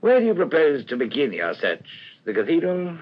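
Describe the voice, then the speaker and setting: A man acting in a radio drama as a genial, gentlemanly older police colonel with a hearty, formal courtesy. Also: fairly high pitch, wildly swooping pitch, a little fast, slight background noise. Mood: genial, obliging. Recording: muffled, lo-fi radio recording.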